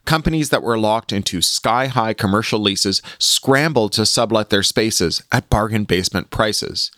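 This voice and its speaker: A man's voice: lowish voice, normal speed, a bit bright, no room sound, clean studio sound. A man hosting a solo podcast, speaking articulately and wryly as he shares updates and reads his own writing aloud. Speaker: podcast host and author.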